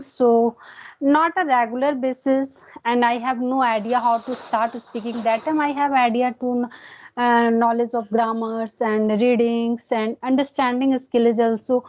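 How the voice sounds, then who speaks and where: A woman from India with an Indian English accent and Hindi as her first language, speaking haltingly with many fillers and pauses in an English practice interview. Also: fairly high pitch, darkish tone, muffled recording. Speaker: guest learner.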